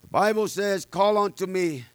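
Male preacher, high ringing voice, loud and fervent, amens throughout, dry sound. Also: normal speed, clear, resonant tone, no echo. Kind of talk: sermon with personal testimony.

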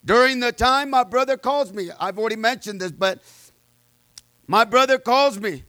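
A fervent male preacher with a very high, bright, forceful voice that rings smooth and clear. He is loud by his own account and scatters amens and fillers through his testimony. The sound is dry and close. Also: normal speed, no echo. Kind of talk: sermon with personal testimony.

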